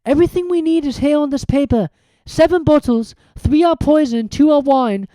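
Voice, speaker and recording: A woman voicing an eager, bossy, clever schoolgirl witch in a storybook reading, brisk and excited. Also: high-pitched, no echo, voice pushed up, lightly acted. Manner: brisk, excited, know-it-all.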